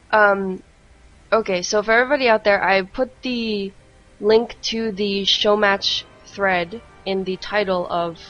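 A woman co-hosting a casual live esports stream, speaking in a somewhat hesitant, halting way. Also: mid-range voice, fairly bright tone, lo-fi sound. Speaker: esports co-caster. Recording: music playing under the voice. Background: music.

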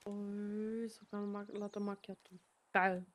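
A woman's voice: mid-range voice, flat delivery, takes it slowly. A woman in her thirties chatting casually in a game roleplay, swearing lightly and laughing often. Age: 30s.

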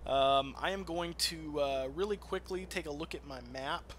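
A man's voice: a little high, normal speed, slight background noise. A man giving casual, profane gameplay commentary as a let's play host. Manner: profane, animated trash-talk.

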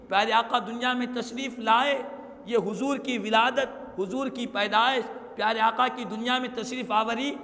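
A man's voice: extremely high pitch, gravelly, sharp tone, big-room sound. A man, delivering an emphatic, declamatory religious sermon with Arabic quotations. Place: small indoor prayer hall, seated before an audience. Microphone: stand microphone and clipped lapel mic.

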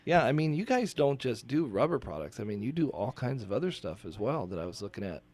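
A man's voice: mid-range voice, fast talker. Chatty laughing man on studio mic. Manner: laughs easily, chatty and animated. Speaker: radio show host. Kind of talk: casual radio chat. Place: radio studio. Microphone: studio microphone.

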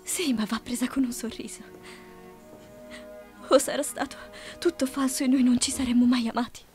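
Woman acting resolute, sorrowful young lady, high voice. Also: steady tone, moderate pace, darkish tone, soft background music, natural voice. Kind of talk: dramatic film dubbing. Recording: fan dub with audible background noise.

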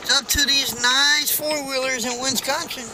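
A man's voice: extremely high pitch, flat delivery, normal speed. A man driving a truck, chatting casually to his viewers as he goes. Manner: chatty, talks to viewers and other drivers as he goes. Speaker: truck driver vlogger. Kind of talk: casual narration while driving. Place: inside a moving truck cab. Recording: truck cab with engine and road noise.

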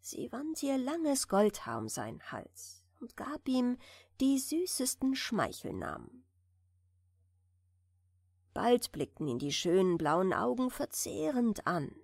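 A woman narrates a bedtime fairy tale in a gentle, soothing way. Her pitch moves expressively while the pace stays very unhurried, sometimes sinking toward a whisper. The recording is close and dry. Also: dry recording. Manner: gentle, soothing, dropping at times to a breathy near-whisper. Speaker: audiobook narrator.

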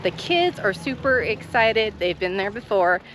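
A woman hosting a family vlog, chatting casually to the camera at an outdoor park and cheering loudly from a distance. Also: medium pitch, animated, a little slow. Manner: upbeat, addresses viewers as "guys". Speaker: family vlogger host. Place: outdoor theme park and expo grounds. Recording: loud outdoor noise, vehicles and splashing water.

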